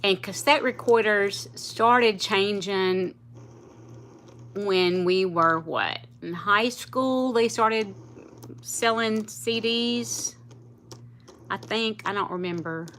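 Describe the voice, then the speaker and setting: Woman, late fifties, bright tone, chatty, rambling, laughs easily. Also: medium pitch, slow pace, slight background noise. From South Louisiana, US. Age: 50s. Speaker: livestream host. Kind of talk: casual livestream chat with viewers.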